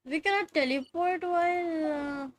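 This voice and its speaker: A woman's voice: very high-pitched, measured pace. A young woman with an Indian accent giving casual, slangy live game commentary, mixing Hindi and English. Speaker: game livestreamer.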